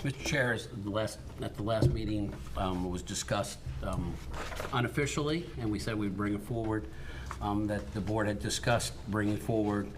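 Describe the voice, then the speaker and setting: A man with a fairly low voice speaks as college president at a board meeting. He is polite and a bit halting, with plenty of fillers, and clear background noise sits behind him. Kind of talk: formal meeting remarks.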